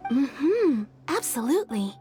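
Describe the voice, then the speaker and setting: High-pitched, courteous shopkeeper. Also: female voice, big pitch swings, takes it slowly, warm tone, soft background music, heavily acted. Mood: obliging. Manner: polite, deferential, explanatory. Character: courteous female kimono shopkeeper, deferential and businesslike. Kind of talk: video game character acting.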